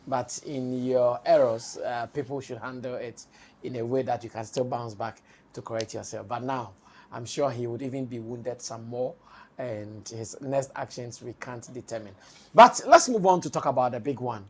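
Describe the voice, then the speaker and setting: A man with a Ghanaian accent hosting a studio talk show, hoarse-voiced, steering the discussion and laughing easily. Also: mid-range voice, moderate pace, a little mellow. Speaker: show host.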